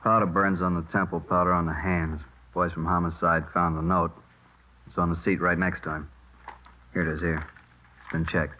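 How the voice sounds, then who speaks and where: Male actor as matter-of-fact investigator, very low and terse; old radio police drama, muted top end. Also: little pitch movement, normal speed, slight background noise, lightly acted. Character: unhurried, businesslike crime-scene investigator. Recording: dull old radio recording.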